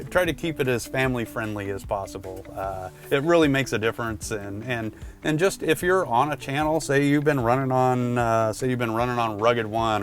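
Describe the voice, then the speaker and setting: A man's voice: mid-range voice, a bit bright. A man presenting product tips in a casual, conversational instructional style, talking directly to the viewer.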